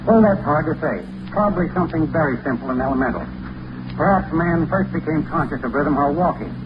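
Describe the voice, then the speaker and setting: A man's voice: fairly high pitch, lively intonation, brisk, lo-fi sound, noisy background. A man talking in scripted old-time radio style, explaining things on a vintage broadcast recording.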